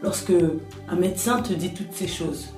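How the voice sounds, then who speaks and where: Woman, interview host, gentle probing questions, lowish voice, distant roomy sound. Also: moderate pace, soft background music.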